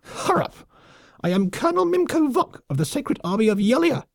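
Audiobook villain: vicious lemming colonel, squeaky, high-pitched, barking pompous military bluster, very animated. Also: male voice, normal speed, vocal fry, no echo, studio quality, exaggerated voice. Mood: pompous, threatening. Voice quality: squeaky, shrill. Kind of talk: audiobook character voice.

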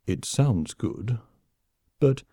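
A man voicing a calm, deadpan old alien warrior who fights while keeping tally. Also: moderate pace, husky, dry recording, clean studio sound, full character voice. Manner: low, understated, deadpan. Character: calm, dry-witted old alien warrior turned accountant.

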